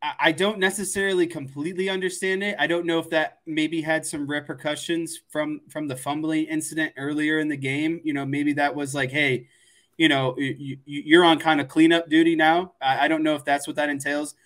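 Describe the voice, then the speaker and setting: Higher-voiced man chatting about sports. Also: little pitch movement, fairly quick, fairly bright tone. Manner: rambling, conversational opinions. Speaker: sports podcast co-host. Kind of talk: casual sports commentary chat.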